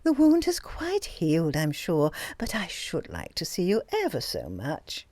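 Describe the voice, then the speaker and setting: A woman's voice: on the low side, wildly swooping pitch, measured pace, darkish tone, no room sound, full character voice. A woman voicing a timid society woman with a sugary, wailing voice. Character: frightened, whining society woman.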